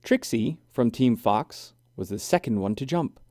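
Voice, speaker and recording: A man reading a children's story aloud in an expressive, deliberate, sing-song way. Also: mid-range voice, no room sound, clean studio sound. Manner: animated, deliberate, sing-song rhyming delivery. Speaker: children's book reader.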